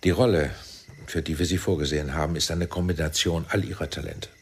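A man acting a shrewd, persuasive intelligence team leader in a German radio play, speaking deliberately and insistently. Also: a bass voice, fairly even intonation, slight background noise. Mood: smooth, persuasive.

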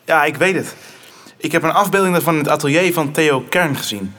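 A man's voice: on the high side, fairly quick, fairly bright tone, natural voice. A man playing an earnest, guarded teenage son in a radio drama, speaking hesitantly. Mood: intrigued.

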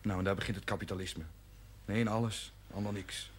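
A man's voice: fairly low pitch, little pitch movement, fast, slight background noise, close to an everyday voice. An actor playing a defiant, resentful young working man in a television drama, blunt and combative. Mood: bitter, subdued. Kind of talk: 1970s television drama acting.

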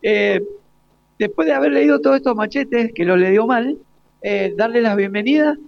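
A man's voice: extremely high pitch, moderate pace, creaky. An Argentine man hosting an informal live radio interview in a hesitant, jokey way. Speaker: radio host. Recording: slightly dull, lo-fi live radio.

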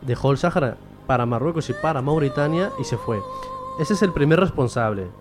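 A man's voice: medium pitch, expressive, a little fast, over music. A man from Western Sahara explaining politics as a radio interview guest, often posing questions and answering them himself. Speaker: guest, member of a Sahrawi association.

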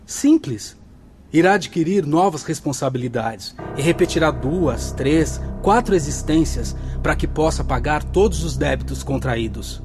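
A man's voice: fairly high pitch, animated, measured pace, music in the background. A man acting a matter-of-fact spirit instructor in a radio drama, didactic in delivery. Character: matter-of-fact male spirit instructor explaining karmic debts.

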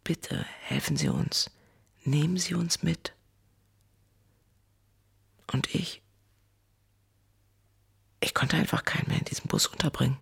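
An actress playing a weary, embittered woman who survived a disaster, recounting it in a radio drama monologue with a husky voice. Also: low voice, big pitch swings, slow pace, long pauses, exaggerated voice. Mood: anguished. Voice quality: husky, gravelly.

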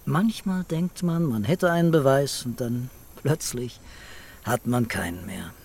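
An actor playing a weary, quietly intense police commissioner in a radio crime drama. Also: male voice, medium pitch, a little slow, husky. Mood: stern, quiet.

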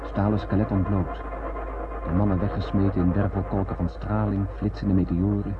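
An actor playing a haunted lone survivor of a space voyage, narrating solemnly and poetically in a radio drama. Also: male voice, low-pitched, level tone, normal speed, close to an everyday voice. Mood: grave, intense. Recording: noisy, thin, phone-like old radio recording.

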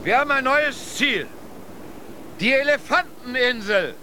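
A man's voice: extremely high pitch, expressive, slight background noise, full character voice. An actor playing a commanding expedition leader calling out to his exhausted sailors in a radio drama. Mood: commanding, rallying. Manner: slow, loud calling out, commanding.

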